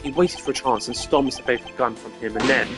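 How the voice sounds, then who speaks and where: A man plays a composed male prosecutor from a mystery game, formal and deliberate, setting out his reasoning with measured care. Music plays clearly behind him. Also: mid-range voice, natural voice. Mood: assured, explaining.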